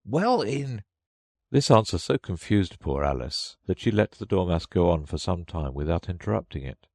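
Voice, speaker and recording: A man narrating a children's audiobook in a gentle, expressive storybook read. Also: low-pitched, moderate pace, gravelly, dry recording, studio quality.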